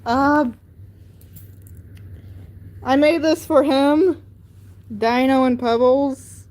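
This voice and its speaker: A teenage girl hosting a casual livestream. She talks slowly and rambles through her sentences, padding them with fillers as she holds up crafts and speaks straight to her viewers. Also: high-pitched, a little mellow. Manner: rambling, filler-heavy with many "like" and "um". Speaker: livestream host. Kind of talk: casual livestream chat showing handmade crafts.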